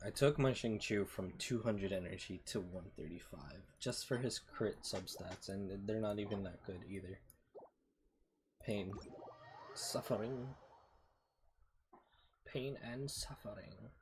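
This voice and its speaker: A man's voice: on the low side, slow pace, long pauses. A man doing casual gameplay commentary as a streamer, muttering to himself while he plays. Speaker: game streamer. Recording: noisy mic with keyboard clicks. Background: typing, mouse clicks, background noise.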